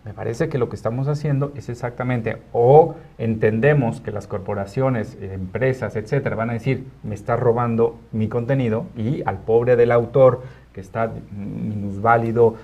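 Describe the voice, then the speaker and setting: A man hosting a conversational panel discussion in a studio, halting and often rephrasing as he seeks agreement. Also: medium pitch, fairly even intonation, a little mellow. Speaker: talk show host. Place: internet TV studio.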